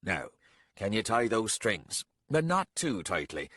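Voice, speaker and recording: A man voicing an old, bent castellan who speaks low, steady and patient. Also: a little slow, dry recording, studio quality, heavily acted. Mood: quiet.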